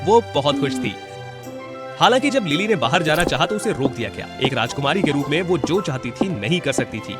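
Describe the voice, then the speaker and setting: A male narrator telling a fairy tale in a fairly high voice that swings up and down expressively. Loud music plays underneath his scripted storytelling. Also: sing-song, brisk.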